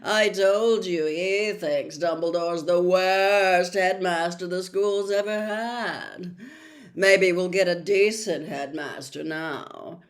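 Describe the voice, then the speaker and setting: Lady doing a snotty gloating schoolboy in a storybook, loud sneery drawl, super smug. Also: on the low side.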